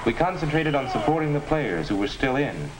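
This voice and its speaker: A young American man playing an earnest high school boy who narrates a scripted 1950s educational film in a folksy, reminiscing way. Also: big pitch swings, a little fast, lightly acted. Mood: earnest, nostalgic. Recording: noisy vintage 1950s film soundtrack.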